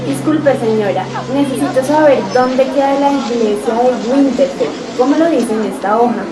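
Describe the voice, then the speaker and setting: Woman acting a puzzled high-voiced young traveller. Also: normal speed, lightly acted. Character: puzzled young female traveller, polite and searching. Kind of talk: amateur radio drama acting. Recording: echoey, distant, loud music behind.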